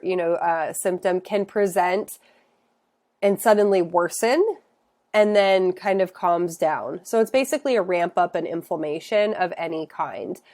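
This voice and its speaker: A woman's voice: on the low side, darkish tone. Congested woman nutritionist explaining casually. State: congested from a cold. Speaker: functional nutritionist. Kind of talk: educational talk to camera.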